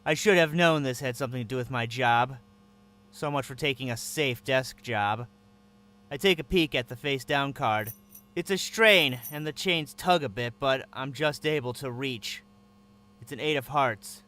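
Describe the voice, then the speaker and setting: A man's voice: medium pitch, moderate pace, sharp tone. A man narrating in first person as a captive, with a tense, wary inner voice.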